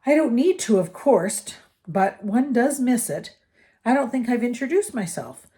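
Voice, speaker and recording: A woman voicing a mournful, courtly old gentleman ghost in an audiobook, speaking formally and wistfully. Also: on the high side, very animated, unhurried, pitched above the performer's own voice.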